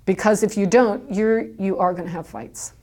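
A woman's voice: medium pitch, moderate pace, a little mellow, slight background noise. A woman who runs a dog kennel, talking casually in a recorded interview with many fillers and restarts. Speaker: dog kennel owner, guest. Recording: home tape recording.

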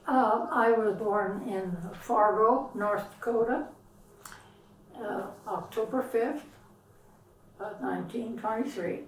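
Elderly woman, slow reflective speech, oral history interview, roomy far-off mic. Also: fairly high pitch. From US West Coast. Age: 90s. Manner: slow, reflective, with pauses and repeats. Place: at home.

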